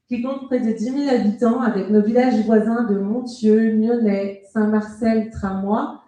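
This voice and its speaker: A woman, a local councillor delivering a prepared, formal political speech in measured, articulate phrases at a public meeting. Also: a little high, dark tone. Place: indoor hall at a public meeting. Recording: very echoey public meeting room.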